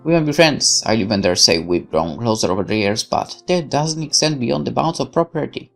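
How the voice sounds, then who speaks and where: A let's player with a creaky voice, reading narration aloud expressively and dropping in casual asides. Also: medium pitch, expressive, fairly quick, soft background music.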